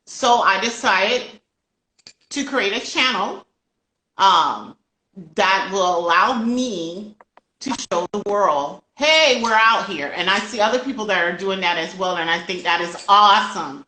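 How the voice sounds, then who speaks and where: A woman's voice: mid-range voice, lively intonation, unhurried, bright, forceful tone, roomy sound, poor audio. An older woman hosting a casual book interview in a chatty, effusive, talkative way. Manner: talkative, effusive with frequent interjections and ready laughter. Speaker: host of a children's book channel.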